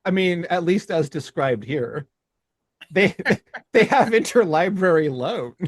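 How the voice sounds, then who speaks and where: A man co-hosting a podcast, reading his own rhyming poem in a measured cadence and then chatting with frequent restarts. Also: high voice, lively intonation, dry recording, studio quality. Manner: slow, deliberate, with halting restarts in chat. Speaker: podcast co-host.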